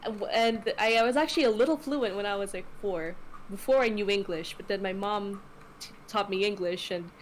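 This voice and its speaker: A woman's voice: mid-range voice, normal speed, a little hiss or hum, low-quality recording. A woman whose first language is Tagalog, co-hosting a stream in casual, chatty talk and laughing easily. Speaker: co-host. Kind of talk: casual chat.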